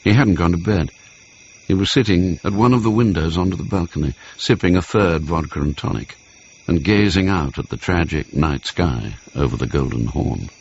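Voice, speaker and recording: A composed thriller storyteller with an exceptionally low voice. He delivers scripted dramatic narration for radio. The recording is dull, with faint noise. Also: moderate pace, darkish tone. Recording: dull sound with slight noise.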